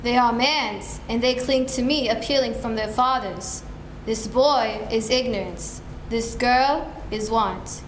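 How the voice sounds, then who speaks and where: A woman's voice: on the high side, takes it slowly. A young woman playing a solemn, stern ghostly spirit, grave and declamatory, in a dramatic stage reading. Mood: grave warning. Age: young adult. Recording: echoey room, faint noise.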